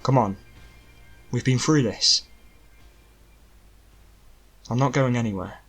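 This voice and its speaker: A man voicing a commanding but caring young king in an audiobook, firm, brisk and reassuring. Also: mid-range voice, fairly bright tone, breathy edge, soft background music, exaggerated voice. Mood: firm, exasperated. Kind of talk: audiobook character voice.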